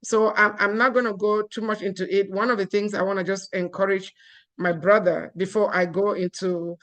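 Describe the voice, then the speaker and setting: A woman's voice: medium pitch, fairly even intonation, normal speed, fairly bright tone. A woman from Cameroon giving an earnest seminar talk, with frequent fillers and restarts. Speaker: guest speaker.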